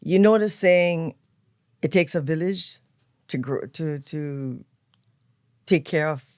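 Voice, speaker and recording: An older woman with a Trinidadian accent, a guest telling stories of her upbringing in a relaxed, unhurried way. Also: deep voice, no room sound, clean studio sound. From Trinidad and Tobago. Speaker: guest, event planner. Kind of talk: relaxed storytelling interview. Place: radio studio.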